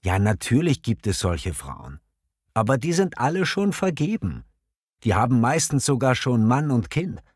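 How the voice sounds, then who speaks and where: A man acting a hesitant, expressive single guy. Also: medium pitch, normal speed, dry recording, studio quality. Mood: mildly defensive. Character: hesitant, choosy single man who loves art. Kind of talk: scripted language-learning dialogue, clearly enunciated.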